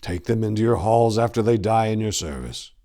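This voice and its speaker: A man voicing a fierce, grieving eldest brother, a warrior monk in a dark fantasy story, anguished and solemn. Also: fairly low pitch, expressive, fairly quick, natural voice. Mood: grieving, grim.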